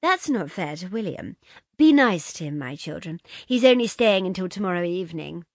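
Audiobook role acted by a woman: affected young wife, breathless and gushing, very animated pitch, dry close sound. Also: normal speed, no room sound. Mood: gushing protest. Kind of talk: audiobook character dialogue.

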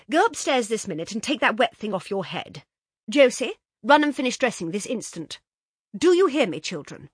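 A woman's voice: mid-range voice, a bit bright, husky, dry recording, studio quality, voice pushed up. A woman voicing a fussy, flustered upper-class mother who rattles off breathless commands.

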